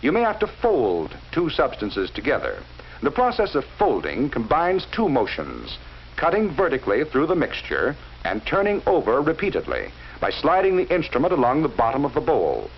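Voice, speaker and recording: A man narrates a 1940s instructional film in a measured, explaining manner, speaking straight to the viewer. His pitch rises and falls a great deal. The sound is muffled and lo-fi, with a faint hiss. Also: wildly swooping pitch. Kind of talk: scripted educational film narration.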